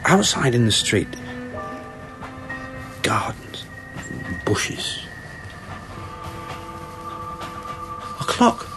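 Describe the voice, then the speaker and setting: Stubborn British police inspector, guarded clipped speech, mid-range voice, music underneath. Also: male voice, medium pitch, big pitch swings, extremely slow. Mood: entranced. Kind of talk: radio drama acting.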